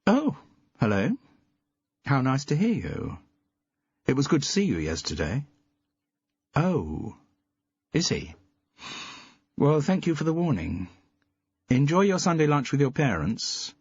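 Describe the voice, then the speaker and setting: A man's voice: mid-range voice, wildly swooping pitch, slow pace, pauses often, darkish tone, slightly rough, no room sound, lo-fi sound, pitched above the performer's own voice, exaggerated voice. A man voicing a friendly, wry woman who is an amateur sleuth.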